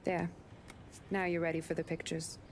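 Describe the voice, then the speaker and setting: A woman's voice: lowish voice, flat delivery, normal speed, close to an everyday voice. A woman as a teasing barroom girl. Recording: game audio with clear background noise.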